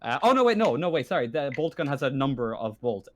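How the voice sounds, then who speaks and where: A man with a mid-pitched voice runs a tabletop horror game. He narrates off the cuff and talks with the players, often halting to repeat or fix a phrase. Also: very animated, fairly bright tone, a little hiss or hum.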